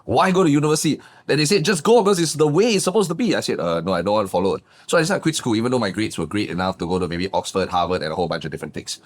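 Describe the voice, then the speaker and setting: A man, a guest business coach telling his backstory in a lively, emphatic way. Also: rapid-fire, few pauses. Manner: animated, emphatic, swings pitch up for punchlines. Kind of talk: casual interview storytelling.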